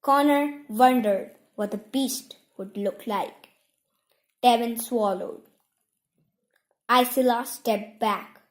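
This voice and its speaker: A woman reads fiction aloud in a fairly high voice. Her narration is slow and measured, broken into short phrases. The recording is roomy and echoing. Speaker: audiobook reader. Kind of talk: scripted story narration.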